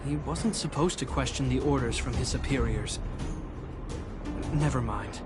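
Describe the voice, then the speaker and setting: A man voicing a protective, cautious older brother in a video game, with an earnest, measured delivery. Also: medium pitch, lightly acted. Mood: earnest, gentle. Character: protective, dutiful older brother, cautious young male fighter. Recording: voice under clear background music.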